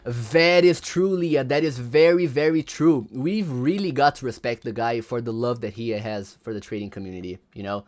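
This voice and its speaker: A man's voice: mid-range voice, normal speed, few pauses, darkish tone. A young man whose first language is Portuguese, rambling through a casual livestream and reading chat aloud, often repeating words.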